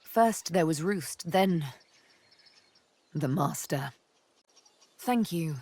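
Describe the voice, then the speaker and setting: A woman's voice: low-pitched, expressive, extremely slow, a little mellow, exaggerated voice. A woman playing a cool, sardonic, vengeful elf assassin in a fantasy game, poised, wry and intimate. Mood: troubled, bitter. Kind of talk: fantasy video game acting.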